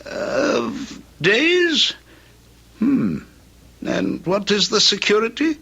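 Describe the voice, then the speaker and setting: A haggling old moneylender for old-time radio. The voice is pitched high and swoops about, with a husky edge. He speaks in fussy, hesitant, drawn-out phrases. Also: very animated, bright, forceful tone, exaggerated voice. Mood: wary, fussy.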